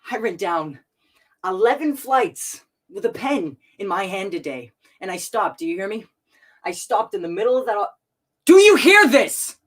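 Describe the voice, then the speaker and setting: A young man playing a bitter grown son confronting his father in a stage monologue, talking fast and rising into shouts. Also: sits high, sing-song, heavily acted. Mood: angry. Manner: quick, rising shouts and pleading.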